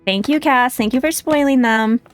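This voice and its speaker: A woman's voice: sits high, normal speed, faint music behind. A woman hosting a casual game livestream, chatting and thinking aloud in a self-deprecating way. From United States. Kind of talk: casual livestream chat and gameplay commentary.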